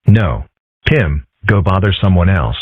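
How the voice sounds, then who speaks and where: Cartoon dub animal male actor: low, sardonic, curt and unimpressed, tinny phone-like sound. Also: lively intonation, a little slow, breathy edge, no echo, full character voice. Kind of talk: comic dub voice acting. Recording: muffled, phone-like narrow sound.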